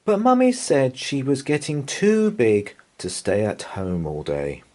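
A man reading a children's picture book aloud in a gentle, lively, sing-song way. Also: mid-range voice, a little slow. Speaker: storybook reader.

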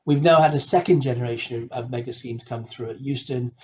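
A man giving an explanatory slide lecture over a video call, measured, with emphatic phrase openings. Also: medium pitch, darkish tone, a little hiss or hum. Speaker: guest lecturer presenting a webinar.

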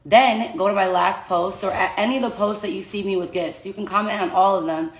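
Raspy young woman talking to her followers on her phone, kinda echoey room. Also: mid-range voice, a little fast. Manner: chatty, laughing, talking to her dogs between points. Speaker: social media influencer. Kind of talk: casual livestream giveaway chat. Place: small bedroom indoors. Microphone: phone at arm's length.

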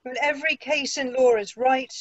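A woman reading the part of a quipping male court fool, in brisk riddling patter. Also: sits high, steady tone, no echo, dull audio. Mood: wry. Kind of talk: play read-through.

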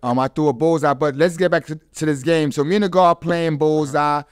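A man with a fairly high, clean voice hosts a casual chat. He tells stories in slangy language and circles back to repeat phrases for emphasis. The sound is dry and close to the studio mic. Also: steady tone, brisk, smooth, dry recording. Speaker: podcast host. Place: small studio with foam panels. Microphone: close studio microphone.

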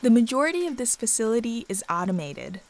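A woman reporter narrating a scripted news feature in a measured, clear voice. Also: on the high side, big pitch swings. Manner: measured, clear voice-over delivery. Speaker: reporter and host. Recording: voice-over with background music.

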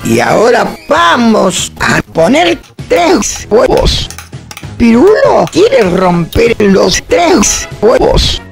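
A woman acts out a bossy older madame in a radio comedy. She drags her words very slowly and swoops in pitch. Her manner is haughty and exasperated. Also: wildly swooping pitch, vocal fry, soft background music, heavily acted. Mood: bossy, impatient. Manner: scolding, haughty. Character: older, imperious, exasperated madame teaching cookery.

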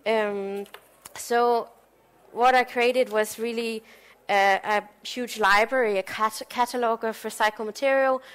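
A woman's voice: a little high, fairly even intonation, measured pace, clean tone. A young woman from Denmark giving a conference talk on her design work, speaking informally with frequent "um"s. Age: young adult. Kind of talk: conference presentation.

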